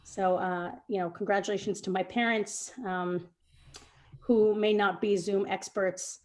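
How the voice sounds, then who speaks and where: A woman's voice: mid-range voice, steady tone, unhurried, a little mellow. A young woman speaking on a video call, earnest and heartfelt, with plenty of fillers as she talks. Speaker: guest, chef's daughter. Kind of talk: casual speech on a video call.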